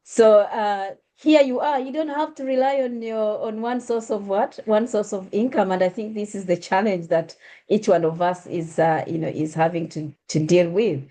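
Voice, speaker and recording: A woman's voice: mid-range voice, steady tone, moderate pace, mellow. A Ugandan woman hosting an interview, talking with frequent fillers and restarts as she introduces her guest and asks questions. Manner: hesitant, many uh fillers and restarts. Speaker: host. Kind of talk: conversational interview.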